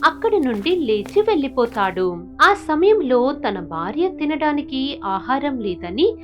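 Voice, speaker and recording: A woman's voice: sits high, very animated, a little slow, muffled recording, over music. A woman narrating a scripted moral story expressively, as a storyteller does.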